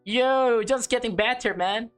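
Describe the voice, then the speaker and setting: A woman's voice: fairly high pitch, measured pace, clean tone. A woman reading a visual novel aloud for a let's play, commenting casually and addressing viewers informally as guys and man. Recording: music playing behind the voice. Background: music.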